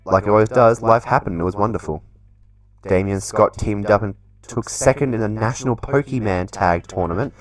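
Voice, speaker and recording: A man's voice: fairly low pitch, normal speed, dry recording, rough recording. A man doing casual, chatty let's play commentary in a playful way, reading game text aloud as he goes. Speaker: gaming channel host.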